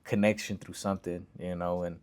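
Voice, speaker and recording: Laid-back young man, low voice. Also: flat delivery, a little fast, darkish tone. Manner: laid-back, heavy swearing and slang, storytelling. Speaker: podcast guest. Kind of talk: casual chat.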